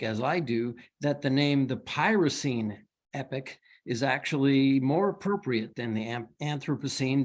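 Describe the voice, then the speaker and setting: A man in the audience posing a long, reflective question, building it up with a list of examples. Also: mid-range voice. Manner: thoughtful, reflective, listing examples. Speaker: audience member asking a question.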